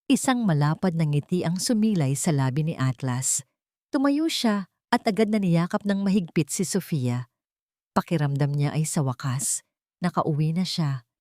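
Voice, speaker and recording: A woman's voice: sits low, animated, normal speed, a little mellow, no echo, clean studio sound. A woman reading a scripted romantic story as its narrator.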